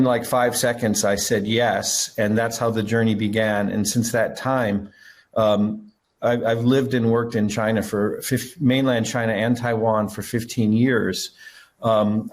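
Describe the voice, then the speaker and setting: A middle-aged man, a school head, telling his story on a panel over a video call with many pauses and restarts. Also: fairly low pitch. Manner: halting, many fillers and restarts. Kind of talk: panel talk.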